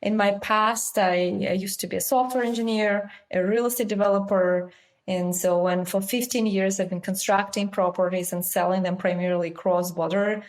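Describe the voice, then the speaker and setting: Russian-accented woman, founder type, explains things confidently, talks in quick bursts. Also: medium pitch. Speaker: company founder, interview guest. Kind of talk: business interview.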